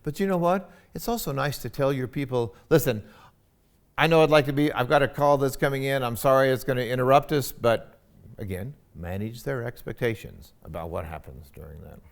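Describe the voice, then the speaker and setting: A man giving a university lecture on etiquette, with a loud, emphatic voice and frequent fillers. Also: mid-range voice, a little fast, creaky.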